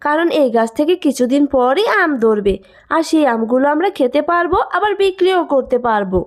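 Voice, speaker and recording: A woman's voice: sits high, lively intonation, a little fast. A woman voicing a greedy, scornful village sister-in-law in a cartoon moral story, brusque and scolding. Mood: dismissive. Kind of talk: animated moral story acting.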